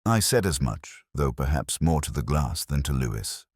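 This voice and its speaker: A man playing a very deep-voiced, brooding detective. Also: very animated, husky, dry recording, clean studio sound, heavily acted. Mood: brooding, reflective. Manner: measured, reflective, literary phrasing. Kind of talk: scripted first-person detective narration, audio drama.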